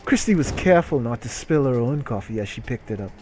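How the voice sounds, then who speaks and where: A man's voice: medium pitch, animated, quick pace, darkish tone. A man doing a comedic reading aloud, breaking into casual, profane, exasperated asides. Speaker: streamer reading a story aloud while playing a game. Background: music.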